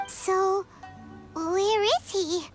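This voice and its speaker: A woman voicing a tiny childlike fairy girl companion, squeaky, excitable and timid, in a cartoonish video game style. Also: drawn-out words, faint music behind, full character voice. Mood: curious, uneasy. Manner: refers to herself in third person, exclaiming.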